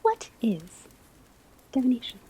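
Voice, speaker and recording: Breathy, whispering witch-school teacher, acted by a woman. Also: on the high side, wildly swooping pitch, mellow, heavily acted. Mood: instructive, measured. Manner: measured, deliberate, formal lecturing. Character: patient female witch-academy divination teacher, gently stern, scholarly. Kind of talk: whispered ASMR classroom roleplay, lecturing.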